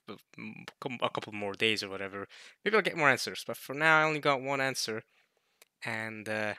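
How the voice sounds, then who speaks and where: A man in his late teens chatting casually to viewers as a video host, jokey and animated, repeating words in bursts as he rambles. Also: medium pitch, dry recording. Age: late teens, turning twenty. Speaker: host of a video series reading a visual novel.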